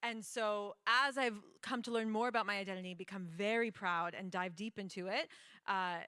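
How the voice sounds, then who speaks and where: A woman's voice: medium pitch, normal speed, sharp tone, clean tone, dry recording, clean studio sound. A young woman from the United States, a guest in a conversation, telling her own story earnestly with frequent laughs and fillers. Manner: earnest, laughs often, many fillers and restarts.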